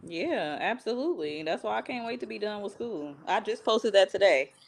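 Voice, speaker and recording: A woman's voice: medium pitch, lively intonation, moderate pace. A woman in her thirties in a casual podcast chat, teasing at times and reflective. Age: 30s.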